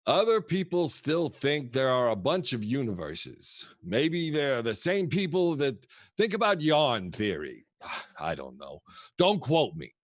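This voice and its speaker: A man's voice: medium pitch, big pitch swings, a little slow, no echo, clean studio sound. An actor playing a kooky, affectionate old grandfather who rambles and mutters theatrically in a comic play. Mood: rambling, philosophical. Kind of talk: comic stage monologue and dialogue.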